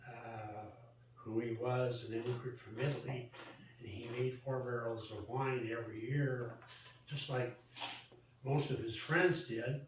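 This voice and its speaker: An older American man telling a personal story casually, in a halting, reminiscing way. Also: mid-range voice, steady tone. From Central Valley, California, United States. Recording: roomy echo, distant mic, faint noise.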